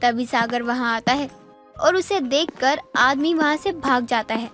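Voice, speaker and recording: A woman's voice: high voice, measured pace, fairly bright tone, soft background music. A woman narrating a scripted moral story.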